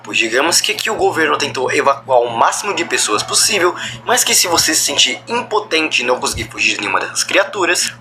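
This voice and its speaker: A man's voice: on the high side, slight background noise. A man with a Brazilian accent giving explanatory commentary on a horror video in a wordy, roundabout way. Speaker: video host. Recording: loud background music under the voice. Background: music.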